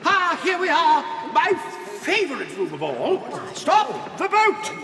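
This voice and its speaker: An actor playing an eccentric, excitable male chocolate factory owner, playful and theatrical, in a studio audio drama. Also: very high-pitched, takes it slowly, gravelly, exaggerated voice. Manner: playful, theatrical, bursts into rhyming chants.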